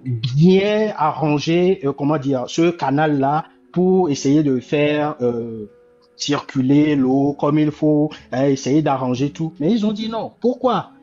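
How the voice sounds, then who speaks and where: A man's voice: a little high, lively intonation, slow pace, mellow, muffled recording, faint music behind. A man, making impassioned political commentary and repeating key phrases with many restarts. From Togo. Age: 40s. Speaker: commentator on a live discussion.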